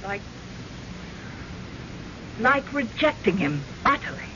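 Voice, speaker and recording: Bright, animated middle-aged widow, firm and wry. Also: female voice, on the high side, slow pace, exaggerated voice. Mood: firm, resolute. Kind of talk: old-time radio drama acting. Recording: muffled, noisy vintage radio recording.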